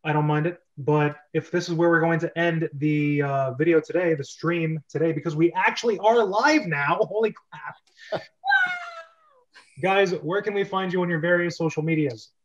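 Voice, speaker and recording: A man's voice: on the high side, moderate pace. A man hosting a casual livestreamed group chat about scripts, talking in an informal, rambling way. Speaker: host of an online script reading club. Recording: dull, lo-fi online stream audio.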